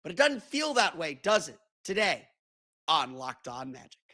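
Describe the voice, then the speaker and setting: A man with a hoarse voice, hosting a casual sports podcast and often repeating words as he thinks aloud. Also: a little high, sing-song, normal speed, very noisy. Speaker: podcast host and senior writer.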